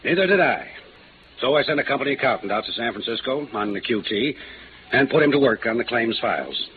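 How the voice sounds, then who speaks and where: A man playing a grave, troubled older American insurance executive, set in his ways, in a 1950s radio drama, with measured, halting delivery. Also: mid-range voice, sing-song, close to an everyday voice. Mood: grim, resigned. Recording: noisy, phone-like old radio recording.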